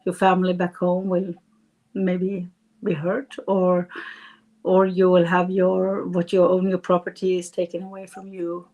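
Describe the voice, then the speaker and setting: A woman speaking English as a politician guest, answering interview questions in an earnest, halting way with many pauses and fillers. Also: lowish voice, monotone, a little mellow, muffled recording. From Sweden. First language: Swedish.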